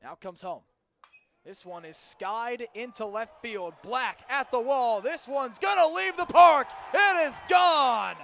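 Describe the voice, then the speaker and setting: A man's voice: very high-pitched, takes it slowly, slight background noise. A young man doing live radio baseball play-by-play, with descriptive calls that build on the action. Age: young adult. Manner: crisp, descriptive calls that swell into excitement on big plays. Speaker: student baseball play-by-play broadcaster. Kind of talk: live radio sports commentary. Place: broadcast position at an outdoor ballpark.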